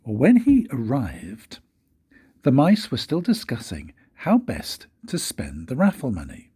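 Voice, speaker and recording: An older man, a grandfather, reading a children's story aloud in a husky, breathy voice with expressive, dramatic pauses. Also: medium pitch. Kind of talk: storybook read-aloud narration.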